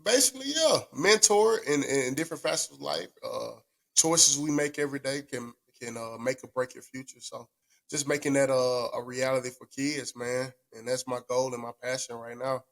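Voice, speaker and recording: A man's voice: medium pitch, normal speed, gravelly, fairly bright tone. A young man, a retired football player chatting casually and slangily, dropping "man" often. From southern United States. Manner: slangy, laid-back, says "man" a lot. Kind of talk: casual chat.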